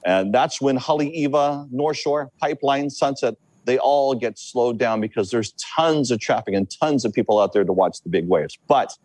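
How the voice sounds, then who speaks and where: A man from Hawaii, a meteorologist, explaining the weather and big surf in a halting, repetitive way during a news interview. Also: medium pitch, a little mellow, slightly rough, no echo. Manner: halting, repeats words while explaining.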